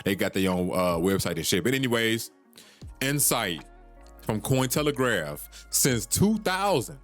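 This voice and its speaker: A man's voice: medium pitch, sing-song, measured pace, fairly bright tone, faint music behind. A man hosts a solo podcast, talking in an urgent, preachy way that repeats points and swears casually. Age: 30s. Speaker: podcast host.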